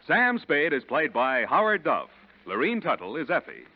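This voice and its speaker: A man's voice: a little high, wildly swooping pitch, moderate pace, over loud music. A man with an American accent announcing in polished, emphatic, scripted 1940s radio style. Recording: muffled 1940s radio broadcast.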